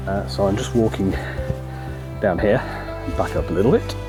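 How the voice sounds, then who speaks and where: A lower-voiced man, croaky from a cold, chatting in a relaxed, halting way as he walks through a forest. Plenty of ums between his thoughts, and loud music plays under his voice. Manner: relaxed, hesitant, many ums. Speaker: photography vlogger. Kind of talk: casual walk-and-talk narration. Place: outdoors in a forest.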